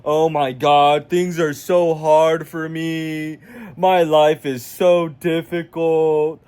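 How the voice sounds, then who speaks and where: A man's voice: fairly high pitch, flat delivery, a little mellow, clear, resonant tone. A young man mimicking a whiny, self-pitying guy. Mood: mock whining.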